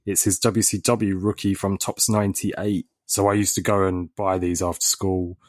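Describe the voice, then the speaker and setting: A man's voice: sits low, level tone, moderate pace, no room sound, clean studio sound. A man co-hosting a podcast, telling a story in a laid-back way with ums. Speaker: podcast co-host. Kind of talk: casual chat.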